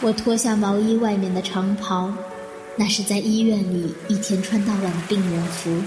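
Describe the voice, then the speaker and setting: A woman acting a vengeful, resolute woman who narrates a mystery radio drama in a measured, deliberate way. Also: mid-range voice, fairly even intonation, natural voice. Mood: brooding, detached. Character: vengeful, resolute woman plotting against her would-be killer. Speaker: voice actor in an amateur audio drama. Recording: echoey, long reverb, music behind. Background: background music.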